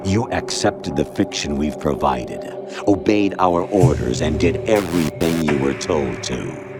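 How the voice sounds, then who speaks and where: An actor playing a cold, condescending male artificial intelligence in a video game, lecturing with measured authority. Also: very deep voice, loud music behind the voice. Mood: smug, triumphant. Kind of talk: dramatic game cutscene acting.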